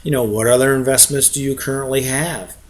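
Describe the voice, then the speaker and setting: A man's voice: mid-range voice, steady tone, brisk. A man giving an informal explainer as an investment presenter, conversational and often checking in with short rhetorical questions. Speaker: real estate investment presenter.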